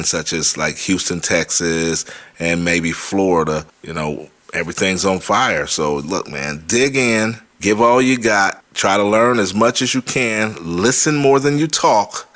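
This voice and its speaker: Man, deep voice, casual vlogger, informal address to viewers, repetitive emphasis. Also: fairly bright tone. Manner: informal, repeats points for emphasis. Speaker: host of a money and real estate vlog. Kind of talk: casual vlog talk to viewers.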